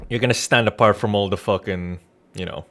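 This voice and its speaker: A man's voice: on the low side, fairly even intonation, a little fast, a bit bright. A man livestreaming a game, chatting in a rambling, informal, joking way with casual swearing. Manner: joking, irreverent. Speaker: game streamer. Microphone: Rode NT1-A studio condenser microphone. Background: background music.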